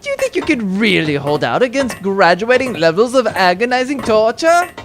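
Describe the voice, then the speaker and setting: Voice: mid-range voice, sing-song, normal speed. An actor playing a sarcastic, pompous villain baron who gloats and threatens captives in a comedic audio drama. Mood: menacing, exasperated.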